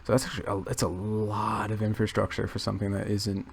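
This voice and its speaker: A man quietly thinking aloud in a gravelly low register, rambling with many restarts and fillers. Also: completely flat delivery, fast talker, slight background noise. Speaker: channel host. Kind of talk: casual rambling commentary.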